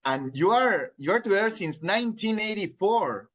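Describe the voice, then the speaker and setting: A man's voice: high voice, lively intonation, normal speed, a bit bright. A man in his thirties with a Peruvian Spanish accent, interviewing casually and hesitantly as he searches for words in his second language. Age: 30s. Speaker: radio interviewer.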